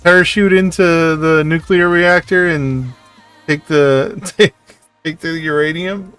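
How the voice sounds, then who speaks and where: A man's voice: a little high, fairly even intonation, a little slow, a bit bright, clean tone, soft background music. A man doing casual live reaction commentary as a streamer, sweary and incredulous.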